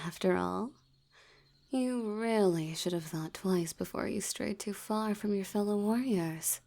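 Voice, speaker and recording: A woman's voice: medium pitch, vocal fry, darkish tone, full character voice. A woman voicing a seductive, teasing female vampire in an ASMR audio roleplay, often dropping into a whisper.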